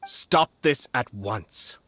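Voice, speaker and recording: An actor playing a composed, calculating young noble commissioner in an anime video game, speaking in a measured, formal manner. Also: male voice, mid-range voice. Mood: cold, commanding. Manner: measured, formal, calm and authoritative. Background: soft game music.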